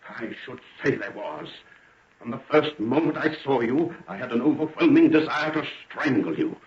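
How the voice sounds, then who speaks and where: A man's voice: medium pitch, normal speed, close to an everyday voice. An actor playing a righteous older father, defiant and outraged, in the declamatory, formal manner of old radio drama. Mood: outraged loathing. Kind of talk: 1940s radio drama acting. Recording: muffled, echoey old radio recording.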